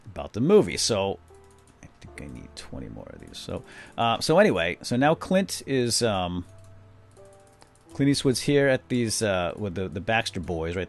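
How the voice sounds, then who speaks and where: A man hosting a video game series, chatting casually and retelling a story with lots of fillers. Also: low voice. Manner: rambling, many fillers, lively retelling. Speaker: let's play host. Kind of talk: casual gameplay commentary and storytelling.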